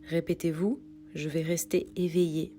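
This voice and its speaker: Low, mellow woman guiding meditation. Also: a little hiss or hum. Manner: calm, soothing, unhurried instruction with pauses. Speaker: yoga nidra meditation guide. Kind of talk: guided meditation read from a script.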